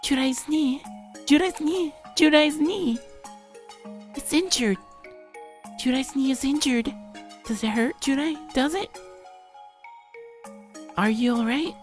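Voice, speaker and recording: A man's voice: very high voice, takes it slowly, pauses often, soft background music, voice pushed up. A man voicing a frantic, fussing young girl from a visual novel, speaking in short, repeated, anxious phrases. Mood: panicked worry. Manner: repetitive, breathless short pleading phrases.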